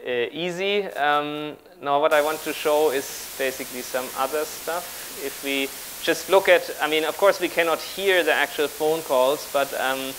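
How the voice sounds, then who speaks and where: A man in his thirties speaking haltingly as he improvises a live technical demonstration as a conference speaker. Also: a little high, fairly bright tone, slight background noise, low-quality recording. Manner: halting, improvising while working at a laptop. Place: large auditorium on stage with audience.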